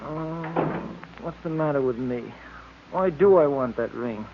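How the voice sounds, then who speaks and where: Actor as befuddled sidekick, higher voice, tinny radio. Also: male voice, measured pace, lightly acted. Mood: troubled, urgent. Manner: hesitant, stammering, earnest. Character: anxious, befuddled male sidekick of a detective. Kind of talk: 1940s radio drama acting. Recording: tinny, noisy old radio recording.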